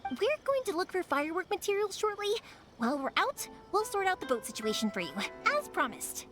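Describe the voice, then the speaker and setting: A woman's voice: extremely high pitch, very animated, fairly quick. An actress voicing a cheerful young woman who makes fireworks in a game cutscene, caring and reassuring as she speaks. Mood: upbeat. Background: game music.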